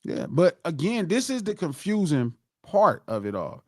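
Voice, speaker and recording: A man with a high voice that swings widely in pitch, hammering home his point in a heated podcast debate. He talks emphatically, like a preacher, and repeats lines for weight. The sound is close and dry, with no room around it. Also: sing-song, normal speed, darkish tone, no echo. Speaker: podcast host.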